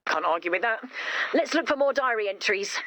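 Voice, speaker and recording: A woman's voice: fairly high pitch, animated, brisk, pushed and bright, no room sound. A woman playing an eager, kindhearted young inventor in a video game, bubbly and theatrical. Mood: curious, excited. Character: eager, kindhearted young female inventor, excitable and well-meaning. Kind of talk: animated video game character dialogue.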